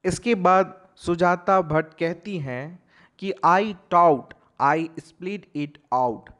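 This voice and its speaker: A man explains a poem line by line, speaking slowly and deliberately. His pitch sits a little higher than most men's. He reads each verse line at a crawl, then explains it in a mix of Hindi and English. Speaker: teacher. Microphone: voice-over over text slides.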